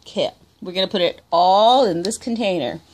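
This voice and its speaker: A woman talks a small child through each step of a home cooking video, patient and encouraging, with a faint hiss behind her. Her voice sits at an ordinary middle pitch and is picked up by a handheld camera in a room at home. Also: moderate pace. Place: indoor home room at a table.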